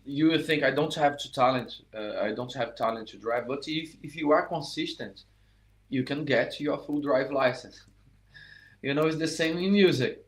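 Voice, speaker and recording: Man, middle-aged, English, saxophone teacher, live lesson, halting, frequent restarts, mid pitch. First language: Portuguese. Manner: halting, many restarts and "you know".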